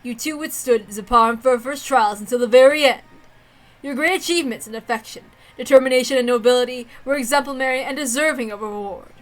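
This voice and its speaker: A woman's voice: high-pitched, quick pace, soft background music, close to an everyday voice, rough recording. Woman playing a formal, proclaiming ceremonial lord. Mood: solemn, proud. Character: grand ceremonial lord presiding over a wedding.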